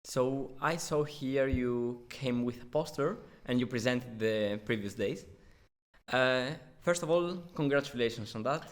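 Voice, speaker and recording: A man's voice: medium pitch, a little slow. A man hosting a podcast, speaking in a conversational academic interview style.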